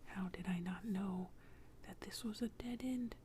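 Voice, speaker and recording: Lady doing a scared little boy, all hushed n breathy, anxious bedtime story whisper, bit of noise. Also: mid-range voice, unhurried, close to an everyday voice. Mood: despairing. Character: frightened little boy, panicked and despairing.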